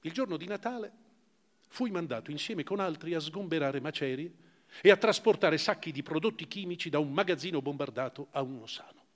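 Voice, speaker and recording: A man giving a measured, expressive theatrical recitation of first-person literary prose, with deliberate pauses. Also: a little high, in a large hall. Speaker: actor reading literary prose. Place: theatre or hall, live performance.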